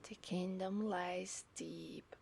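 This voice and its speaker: A woman's voice: on the low side, fairly even intonation, vocal fry, darkish tone, a little hiss or hum, full character voice. A woman with an Italian accent playing an obsessive, seductive mermaid in a teasing ASMR roleplay, sometimes slipping into whispers. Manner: slow, teasing, lapsing into whispers.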